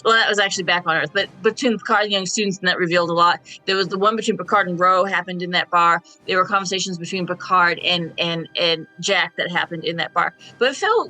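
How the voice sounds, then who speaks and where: A woman's voice: mid-range voice, pushed and bright, poor audio. A woman chatting casually as a panelist in a radio fan discussion.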